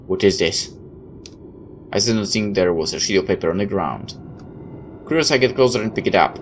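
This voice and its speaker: A man's voice: low-pitched, animated, a little fast. A man reading a visual novel aloud like a dramatic storyteller, tossing in his own asides. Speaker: let's player. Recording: clear background noise.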